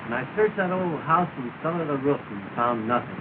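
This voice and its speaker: An actor playing an earnest young gentleman who speaks politely and formally in a downcast tone, on an old film soundtrack. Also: fairly high pitch, expressive, fairly quick, lightly acted. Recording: tinny, hissy 1930 film soundtrack.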